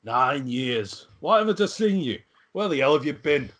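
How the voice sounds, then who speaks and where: A man's voice: fairly high pitch, sing-song, moderate pace, a bit bright. A man playing a boisterous, blunt, imperious fantasy king in his forties at an amateur table read. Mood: brusque, demanding.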